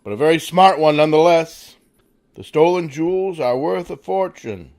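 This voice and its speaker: A man playing a suave, wealthy amateur sleuth with measured, precise speech in a radio mystery. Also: on the high side, expressive, a little mellow. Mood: cordial.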